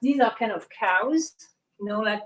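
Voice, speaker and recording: A woman's voice: mid-range voice, animated, normal speed. A woman from Switzerland gives an informal explanatory studio tour as an artist and costume designer, often searching for words and adding "you know".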